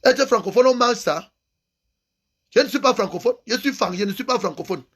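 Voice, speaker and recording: A man's voice: very high voice, brisk, a bit bright, slightly rough. A man giving an angry, impassioned political rant, hammering home repeated phrases. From Cameroon. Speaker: activist vlogger.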